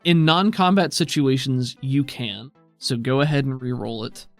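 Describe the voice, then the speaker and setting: A man narrating a tabletop roleplaying game as game master, describing scenes in a measured, detailed way. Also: medium pitch, soft background music.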